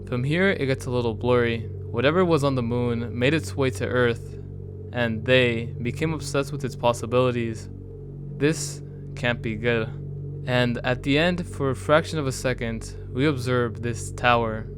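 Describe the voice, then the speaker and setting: A man giving a measured, serious scripted narration in a storytelling manner, as the narrator of an analysis video. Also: mid-range voice. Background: music under the voice.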